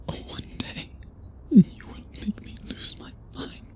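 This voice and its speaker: A man playing an obsessive, courtly vampire suitor in an intimate ASMR roleplay, breathy and husky, with formal old-fashioned phrasing. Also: slow pace, dark tone, natural voice. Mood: strained, hungry longing. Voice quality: breathy, husky, often whispery.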